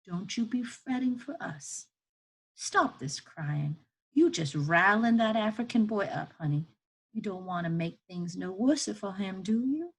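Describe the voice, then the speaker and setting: A weary, motherly enslaved woman as a storybook reader plays her, firm and scolding but tender underneath. Her voice is husky and mid-pitched, speaking in an old-time rural Southern dialect. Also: expressive, unhurried, low-quality recording. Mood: tender, urgent.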